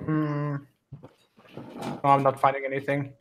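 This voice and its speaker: A man's voice: mid-range voice, monotone, a little slow. A man hosting a casual online voice chat, curious and matter-of-fact. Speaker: host.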